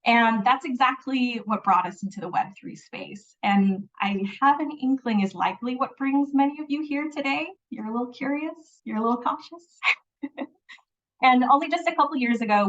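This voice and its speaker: A woman opens a webinar with an earnest, reflective welcome, dropping in small laughs as she speaks. Her voice comes from a little way off the mic, and the audio is dull and lo-fi. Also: medium pitch. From Philippines. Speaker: webinar host. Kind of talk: scripted welcome and land acknowledgement. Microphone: video call. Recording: distant, dull lo-fi call audio.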